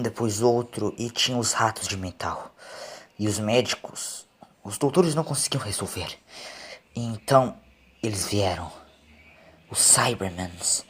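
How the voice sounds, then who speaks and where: A man voicing a traumatized, grieving stowaway in an audio story, halting and repeating his words. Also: on the low side, slightly rough. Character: traumatized stowaway, a grieving father, frantic and broken. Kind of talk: audio story character acting.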